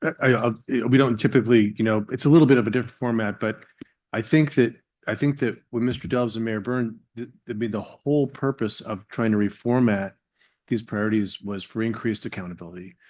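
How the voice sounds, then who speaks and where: A man's voice: on the low side, steady tone, fairly quick, a little mellow. A man chairing a council meeting in a hall, informal and accommodating, with stammered starts.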